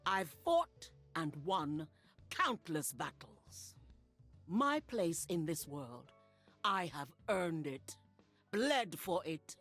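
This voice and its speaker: A woman's voice: fairly low pitch, sing-song, very slow, a bit bright, faint music behind, heavily acted. An actress playing a proud, ruthless middle-aged warlord mother in a video game, commanding and haughty. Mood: solemn resolve.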